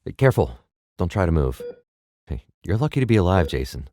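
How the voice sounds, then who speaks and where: A man performs a worried middle-aged dad in an audio drama. His extremely low voice is measured and firm, with some hesitation. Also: sing-song, dark tone, dry recording. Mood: concerned.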